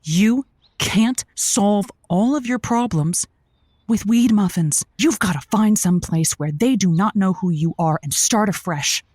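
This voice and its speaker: A woman's voice: mid-range voice, measured pace, a little mellow, no echo, clean studio sound, natural voice. An actress playing a chatty, earnest woman radio host who stammers emphatically while giving advice in a comedic audio drama. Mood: earnest, exasperated. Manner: stammering, searching for words, emphatic. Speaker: call-in radio show host.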